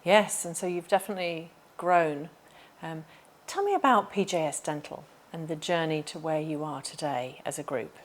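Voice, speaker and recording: A woman's voice: deep voice, expressive, normal speed, few pauses. A woman hosting an interview, introducing her guest and asking questions in an enthusiastic, encouraging way. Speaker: interviewer, executive coach.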